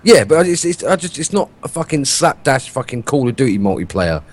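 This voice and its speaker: A British man co-hosting a gaming podcast, swearing a lot and arguing his point in a self-described monotone. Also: mid-range voice, fairly quick, no room sound. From Britain. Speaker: podcast co-host. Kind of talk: casual gaming podcast chat.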